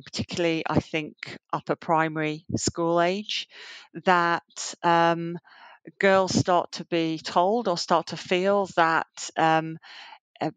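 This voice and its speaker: A woman's voice: lowish voice, smooth, dry recording, poor audio. A middle-aged woman, a banking executive and guest in a remote interview, talking in a chatty way with many fillers and restarts. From Yorkshire, England.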